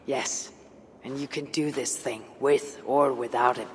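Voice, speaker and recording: An actor playing a bold, steady Viking warrior leader in a video game, with measured, formal phrasing. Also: male voice, mid-range voice, natural voice. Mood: steady, resolute. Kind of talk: dramatic video game acting. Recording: noisy game ambience behind the voice.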